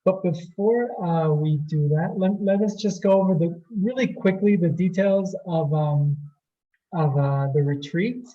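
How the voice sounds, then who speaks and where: A man's voice: high voice, unhurried, warm tone. A man from Colombia co-hosting a webinar, talking enthusiastically and repeating words as he searches for them, over a video call. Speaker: retreat co-host. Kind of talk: presenting a webinar. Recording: dull lo-fi call audio.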